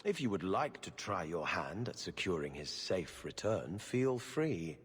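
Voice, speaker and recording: A haughty court official who guards his lord's door. His low, husky voice moves in wide arcs of pitch. A long hall reverb trails after each line. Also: very animated, measured pace, full character voice. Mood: curt. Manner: formal, curt, officious.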